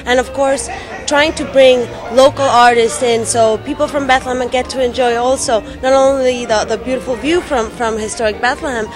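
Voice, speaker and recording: With music clearly behind her, a woman speaks in a slightly high voice. She presents her restaurant in interview style, pausing and rephrasing as she goes. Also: fairly even intonation, crowd noise. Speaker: restaurant representative. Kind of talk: promotional interview talk.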